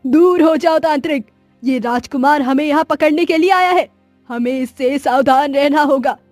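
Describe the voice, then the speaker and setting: Wicked old crone, played by a woman: high, urgent, wary cartoon villainess, dry close sound. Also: normal speed, darkish tone, no echo, soft background music, natural voice. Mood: urgent warning.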